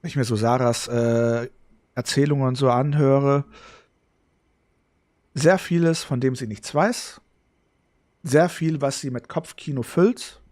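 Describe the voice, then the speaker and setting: A man's voice: mid-range voice, a little slow, long pauses, darkish tone. A man giving casual, reflective commentary as a game streamer. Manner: reflective, explaining. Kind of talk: casual let's play commentary.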